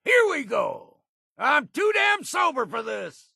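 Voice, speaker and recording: An actor playing a grizzled old hard-drinking islander in a video game, with a gravelly, husky voice and a wry, folksy drawl. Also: male voice, very high voice, sing-song, slow, bright, forceful tone, dry recording, shouting, studio quality, full character voice. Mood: pained, alarmed. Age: older. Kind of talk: video game character voice lines.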